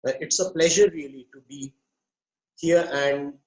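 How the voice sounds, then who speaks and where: Man, hoarse from a cold, unhurried, slightly high voice, video-call speech. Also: a little mellow, low-quality recording. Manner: measured, deliberate, pauses with fillers. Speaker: invited speaker, psychiatrist. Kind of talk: online welcome speech.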